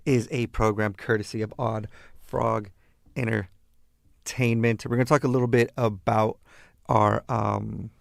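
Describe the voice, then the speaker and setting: An American man from East Los Angeles hosting a laid-back radio show, talking to listeners in deliberate, halting phrases. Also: fairly low pitch, vocal fry, dry recording, studio quality. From East Los Angeles, United States. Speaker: radio host.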